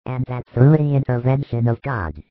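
A man voicing a compassionate rabbi in a Bible story, speaking in a measured, earnest and reassuring way with gentle authority. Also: medium pitch, dry recording, narrow, tinny audio, natural voice. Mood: firm, reassuring.

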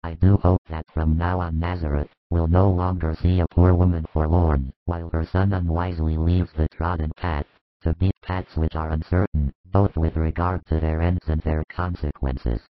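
A man's voice: low-pitched, little pitch movement, normal speed, no echo, soft background music, pitched below the performer's own voice, lightly acted. A man voicing a stern, proud older male relative who lectures in a pompous, admonishing way. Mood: lecturing. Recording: dull lo-fi recording.

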